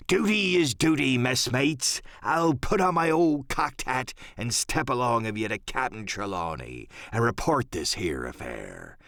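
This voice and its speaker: A man's voice: mid-range voice, creaky, fairly bright tone, no room sound, clean studio sound, full character voice. A man voicing a hearty, cunning old pirate sea cook in an audiobook, talking in folksy, salty seafaring slang. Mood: earnest, wry. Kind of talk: audiobook radio-play character acting.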